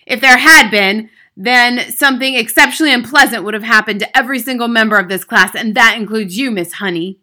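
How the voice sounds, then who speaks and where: Storybook villain: enormous tyrant headmistress, shouted, forceful, barking insults, pitched up. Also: female voice, a little fast, hardly any pauses. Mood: menacing. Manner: booming, barking, hurling insults. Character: huge bullying headmistress, a scornful, raging tyrant.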